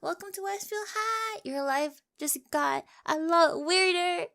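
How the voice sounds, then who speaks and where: Woman playing a creaky-voiced, smitten, quirky teenage girl. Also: very high voice, unhurried, a bit bright, no room sound, clean studio sound, full character voice. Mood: smitten, playful.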